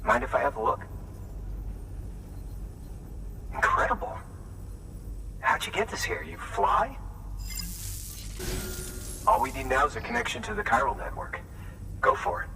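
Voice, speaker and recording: A man's voice: sits high, sing-song, moderate pace, creaky, long pauses, distant mic, full character voice. A man playing a grateful, admiring wind farm worker in a video game cutscene, speaking conversationally. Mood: grateful, impressed.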